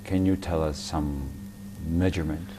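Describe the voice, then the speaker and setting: A man hosting an interview, asking long questions in halting, hesitant phrasing. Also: a bass voice, steady tone, dark tone, faint music behind. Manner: halting, hesitant phrasing, frequent back-channel murmurs. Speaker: interviewer host.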